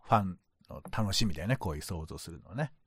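A man's voice: deep voice, expressive, fast, husky, no room sound. A man co-hosting a podcast, chatting casually and responding with informal asides. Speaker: podcast co-host. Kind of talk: casual chat.

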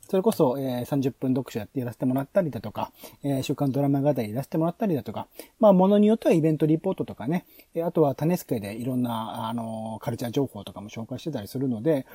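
A man hosting a podcast, talking through plans in a halting, explanatory way with frequent asides. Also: mid-range voice, mellow, husky, no room sound. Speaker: podcast host. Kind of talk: planning discussion on a podcast.

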